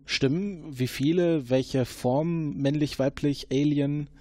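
A man's voice: a little high, steady tone, slow, dark tone, dry recording. A man playing an investigator in a tabletop game, hesitant with frequent fillers. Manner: hesitant, many fillers, interrogating. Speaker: player.

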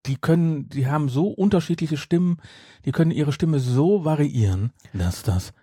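A man's voice: fairly high pitch, animated, normal speed, mellow, husky, no echo, clean studio sound. A middle-aged man in casual podcast conversation, making his points emphatically and repeating them for stress. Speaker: podcast host. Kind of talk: casual chat.

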